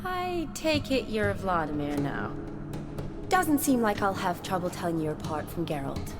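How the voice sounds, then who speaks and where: A woman's voice: lowish voice, sing-song, unhurried. An actress playing a spirited young woman who is wry, direct and easily exasperated, in a video game. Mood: wry, composed. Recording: game music behind.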